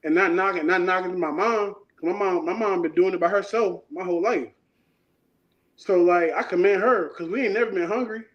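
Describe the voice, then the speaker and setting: A man's voice: high-pitched, moderate pace. A man in his thirties, a guest artist talking in a laid-back, rambling, slangy way in a casual interview. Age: 30s. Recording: dull lo-fi sound.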